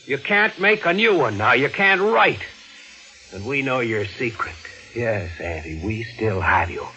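A man's voice: medium pitch, very animated, unhurried, bright, forceful tone, lo-fi sound. An actor playing a cold-blooded, scheming young man in a 1940s radio mystery, insistent and clipped. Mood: gloating. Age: young adult. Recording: vintage radio recording with organ music.

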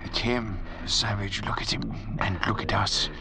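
A man playing an arrogant, intellectual doctor cast away at sea in old radio drama style, lecturing theatrically in a gravelly voice. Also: lowish voice, moderate pace. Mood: intense, brooding. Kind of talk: 1940s radio drama acting. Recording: old radio recording with faint hiss.

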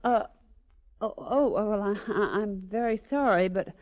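A woman's voice: mid-range voice, measured pace, close to an everyday voice. A polite office secretary played by a woman speaks in a mid-range voice, professional and chatty but easily flustered. The sound is that of a muffled old radio play. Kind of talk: 1940s radio drama acting. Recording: muffled 1940s radio recording.

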